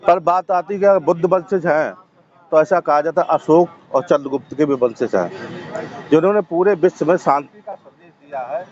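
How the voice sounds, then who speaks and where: A man's voice: a little high, moderate pace, darkish tone, lo-fi sound. A man giving impassioned, assertive interview answers as a protester, stressing points by repeating phrases.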